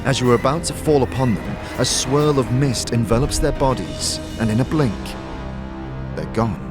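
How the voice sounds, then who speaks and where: A man narrating a fantasy audio drama in a measured, literary, scripted style, as dramatic storytelling. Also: medium pitch, lively intonation. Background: loud music.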